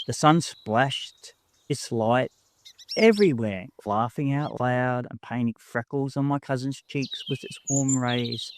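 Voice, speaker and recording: A man reading a first-person story as an audiobook narrator, in an expressive storybook style. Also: medium pitch, a little hiss or hum.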